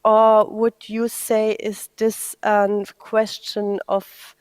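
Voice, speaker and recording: A woman acts as moderator of a panel discussion. She speaks slowly and haltingly, putting questions to the participants in a measured way. The recording is dry and close, with little room sound. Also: medium pitch, little pitch movement, no room sound.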